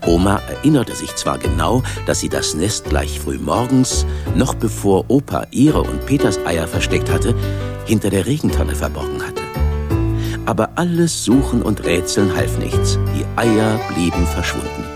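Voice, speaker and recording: Low-voiced male storyteller over music. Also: big pitch swings, moderate pace. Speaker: narrator. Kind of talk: scripted storybook narration. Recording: studio narration under loud music. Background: loud music.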